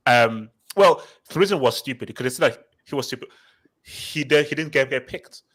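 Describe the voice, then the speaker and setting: A man born in London and raised in Nigeria, hosting a casual football chat and reading out viewer comments, talking in an animated way and often repeating words. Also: mid-range voice, moderate pace. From born in London, grew up in Nigeria. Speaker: podcast host.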